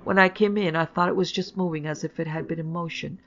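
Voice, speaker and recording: A woman voicing a fond, firm upper-class mother in a storybook, brisk and coaxing. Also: sits low, fairly even intonation, fairly bright tone, lo-fi sound, slight background noise. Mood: suspicious.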